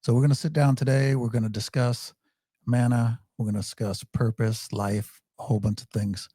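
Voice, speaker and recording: Chatty guy hosting an interview, warm low voice, kinda husky. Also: steady tone, normal speed, no echo, studio quality. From Michigan. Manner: friendly, chatty questioner. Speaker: podcast host. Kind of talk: casual interview.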